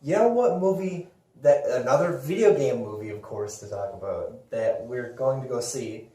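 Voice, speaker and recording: A man's voice: mid-range voice, moderate pace, warm tone. Male podcast host, mid-range voice, slangy and sweary casual talk. Roomy, distant, slightly noisy sound. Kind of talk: casual movie and gaming chat. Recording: echoey room, distant mic, hissy noise.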